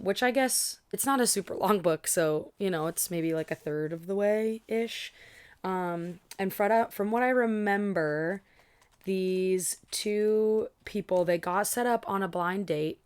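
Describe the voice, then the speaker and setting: A woman's voice: medium pitch, clear, resonant tone. A young woman streaming a read-along, chatting casually with lots of fillers and asides, her voice tired and a little scratchy. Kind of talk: casual chat to a live audience.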